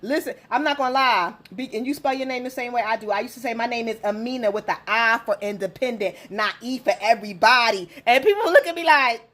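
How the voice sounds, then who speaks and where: An animated woman in her forties hosts a relaxed talk show, her voice sitting a touch high. She tells stories with gusto, acting out the people she quotes, and laughs often. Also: quick pace, hardly any pauses. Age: 40s. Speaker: host. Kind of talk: casual chat on relationships.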